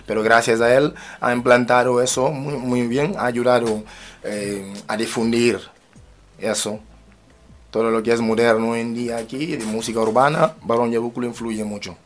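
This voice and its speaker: A man's voice: mid-range voice, steady tone, normal speed. A man, a musician and rapper, speaking as an interview guest, often repeating words and phrases as he goes. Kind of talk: spoken radio interview.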